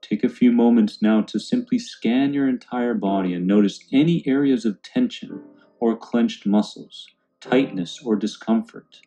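A man calmly guiding a meditation in a soothing, unhurried way. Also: medium pitch, dull audio. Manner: calm, soothing, unhurried instruction. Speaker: meditation guide. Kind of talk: guided meditation narration. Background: background music.

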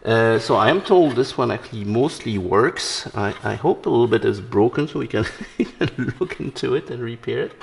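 A man's voice: mid-range voice, expressive, normal speed, vocal fry, hardly any pauses, slightly rough. A man hosting a hobby electronics video, narrating a demonstration casually with playful, wry humor. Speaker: host of electronics restoration video channel.

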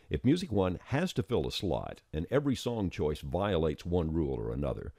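A man narrating an explanatory software tutorial in a measured, step-by-step way, recorded as a close, dry voice-over. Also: low voice, gravelly, breathy edge, no echo. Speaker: software developer giving a tutorial.

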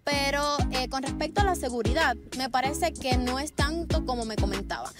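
A woman's voice: high-pitched, moderate pace. A young woman from Cuba with a Holguín accent, explaining her experiences point by point to a phone camera in an outdoor park. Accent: Cuban, from Holguín. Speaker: vlogger. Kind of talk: outdoor vlog talking to camera. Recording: clip with loud music behind. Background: loud music.

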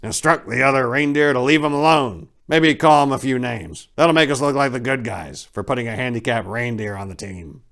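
A man's voice: mid-range voice, fairly quick, few pauses, a bit bright, voice pushed up, close to an everyday voice. A man voicing a stern, bossy Santa Claus who makes pompous pronouncements in a storybook reading. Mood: wary, scheming. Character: commanding, indignant, bossy male Santa Claus.

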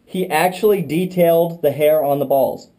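Wry man in a cave, mellow voice. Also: fairly high pitch, normal speed. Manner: wry, joking, rambling. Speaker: cave explorer filming. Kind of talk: casual chat while caving. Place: tight underground cave.

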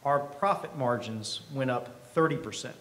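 A middle-aged man giving a conversational keynote speech at a podium microphone, earnest and emphatic, with deliberate pauses. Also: medium pitch, a bit bright. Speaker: company president, keynote speaker. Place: event hall stage. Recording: live podium speech with clear background noise.

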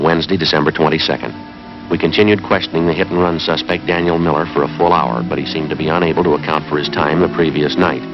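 A man's voice: sits low, close to an everyday voice. An actor in his thirties with an American accent playing a terse, stoic police detective, narrating in a clipped, matter-of-fact way. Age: 30s. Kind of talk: 1950s police drama narration. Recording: dull 1950s TV soundtrack, music underneath.

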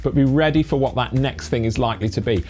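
A young British man speaks straight to camera in a brick-walled room, his lapel mic close and clean. He explains things briskly and earnestly, dropping the odd dry aside, at a middle pitch, with faint music running underneath. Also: darkish tone. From Britain. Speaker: family cycle touring vlogger. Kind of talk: talking-to-camera tips. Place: indoor room with brick wall and bikes.

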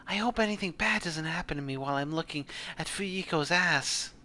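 A man's voice: fairly high pitch, brisk, bright, forceful tone, slight background noise. A young man making casual, joking remarks over a video game with friends. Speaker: amateur game dubber and commentator. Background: background game music.

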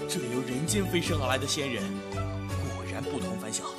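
An actor playing a nosy, amused celestial immortal in a costume audio drama. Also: male voice, medium pitch, expressive, moderate pace, loud music behind the voice, close to an everyday voice. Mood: astonished.

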